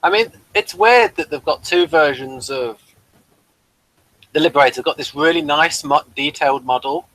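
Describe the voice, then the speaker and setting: A man's voice: on the high side, moderate pace, a bit bright, dry recording, low-quality recording. A man talking casually as co-host of a review, in a hesitant, rambling way. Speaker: co-host of a sci-fi TV episode review. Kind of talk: casual chat reviewing slides.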